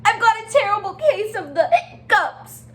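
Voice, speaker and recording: A woman's voice: extremely high pitch, very animated, normal speed, a little hiss or hum, voice pushed up. A woman voicing a small, anxious young penguin from a children's storybook, childlike, whiny and dramatic. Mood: whiny, exasperated. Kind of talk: children's storybook read-aloud.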